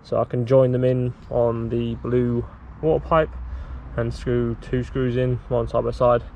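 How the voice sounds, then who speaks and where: A young man casually explaining a DIY build outdoors, talking to a handheld camera in a relaxed, rambling way. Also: mid-range voice, measured pace, creaky, muffled recording, a little hiss or hum. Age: young adult. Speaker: sailing vlogger. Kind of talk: casual how-to vlog. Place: outdoors in a garden, later in a shed. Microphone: handheld camera at arm's length.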